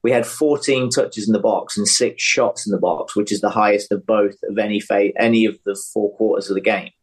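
A man with a fairly low voice talks as a sports analyst on a podcast. His delivery is conversational, working through tactics and numbers in a casual way. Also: steady tone, a little fast, breathy edge.

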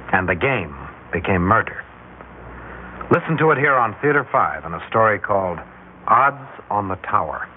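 Harried controller on noisy old radio. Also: male voice, low-pitched. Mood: grim. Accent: American. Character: harried male air traffic controller in debt. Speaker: actor in the lead role, also narrating. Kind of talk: old-time radio drama acting. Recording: old radio broadcast, narrow band, loud noise.

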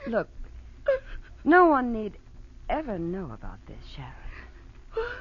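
Casting: cold female schemer in 1940s radio crime, coaxing, very animated, on dull vintage audio. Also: mid-range voice, slow pace, husky, exaggerated voice. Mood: falsely soothing. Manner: coaxing, calls people darling and honey. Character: cold, scheming blackmailer woman, sweetly manipulative. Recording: muffled old radio recording.

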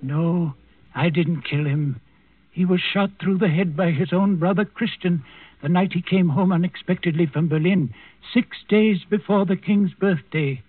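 An actor playing an old Danish servant and secret resister, telling his story deliberately in a 1940s radio drama. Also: high-pitched, no room sound. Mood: grimly confiding. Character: old Danish servant, secret underground plotter, quietly defiant. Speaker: first-person storyteller in a radio play. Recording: muffled 1940s radio recording with music.

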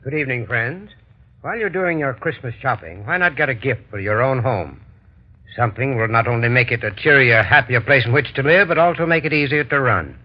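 A man presents a vintage radio advertisement in a mid-range voice. He is formal, measured and instructive, like a scripted expert, and the old broadcast sound is muffled. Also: medium pitch, lively intonation. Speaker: heating expert giving sponsor message.

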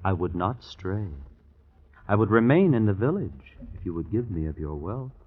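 A man's voice: lowish voice, expressive, moderate pace, slightly rough. An actor playing an insolent, taunting young man, a restless son in an old radio drama. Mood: mocking, defiant. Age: young adult. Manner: taunting, theatrical, mocking. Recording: tinny, narrow-band old radio recording.

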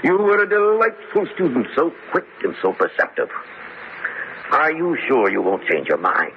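An actor playing a theatrical villainous baron in a campy horror radio drama, mock-genial and florid, given to cackling. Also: male voice, a little high, sing-song, normal speed. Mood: gleeful nostalgia. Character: gloating, theatrical villainous male baron with supernatural willpower. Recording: dull, faintly noisy old radio recording.

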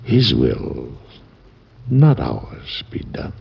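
Deep-voiced dying president, tender and solemn. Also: male voice, wildly swooping pitch, extremely slow, gravelly, slightly rough, heavily acted. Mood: resigned, solemn. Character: dying, devoted, devout American president bidding his wife farewell. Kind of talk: old-time radio drama acting. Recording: dull vintage radio recording with music.

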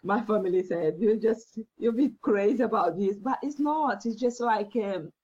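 A woman whose first language is Portuguese, a guest talking earnestly about faith with halting phrasing and many fillers. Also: mid-range voice, darkish tone, breathy edge, lo-fi sound. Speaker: podcast guest. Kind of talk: faith-based interview talk.

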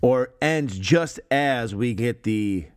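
A man's voice: mid-range voice, animated, slow pace, no echo, clean studio sound. A man in his thirties hosting a podcast, casual and joking, swearing now and then. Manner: joking, swears, laughs often. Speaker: podcast host.

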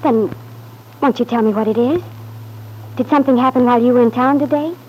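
An actress playing a sweet, anxious young wife, eager and placating, in an old-time radio soap opera. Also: female voice, fairly high pitch, brisk, darkish tone, slight background noise, close to an everyday voice, rough recording. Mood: bewildered, hurt.

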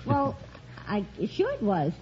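A woman's voice: a little high, wildly swooping pitch, takes it slowly, a crowd in the background. A woman telling an anecdote as a quiz contestant, colloquial, flustered and insistent on getting her story out. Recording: dull, noisy vintage radio with audience. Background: studio audience and noise.

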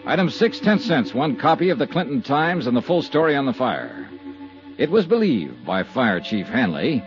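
A man's voice: mid-range voice, sing-song, normal speed. An American actor playing a tough, persistent insurance investigator in a 1950s radio drama, clipped and businesslike as he narrates and questions. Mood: brisk, businesslike. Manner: clipped, businesslike, hard-boiled. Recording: muffled 1950s radio recording, some music.

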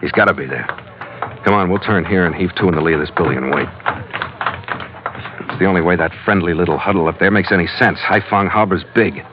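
A hard-boiled ship's skipper acted by a man speaks low and clipped with dry wit, in the manner of classic radio adventure. The old recording is dull and has music in it. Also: fast talker, long pauses, noisy background, close to an everyday voice. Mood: wry, guarded. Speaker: lead actor and narrator.

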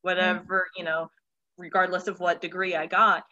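Bright-voiced young woman in casual conversation. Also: fairly low pitch, level tone, moderate pace, dull audio. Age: 20s. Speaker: children's pastor, interview guest. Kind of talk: casual interview chat.

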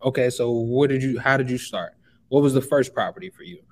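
A man's voice: medium pitch, fairly quick. A man in his twenties from Chicago, a guest on a podcast, talking emphatically and giving motivational advice. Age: 20s. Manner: emphatic, motivational, calls the listener bro. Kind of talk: casual podcast interview.

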